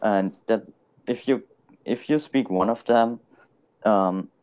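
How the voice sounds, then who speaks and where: Halting young man, low voice. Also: darkish tone. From Germany. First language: German. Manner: hesitant, halting, frequent ums and light laughter. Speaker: guest. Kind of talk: casual live chat.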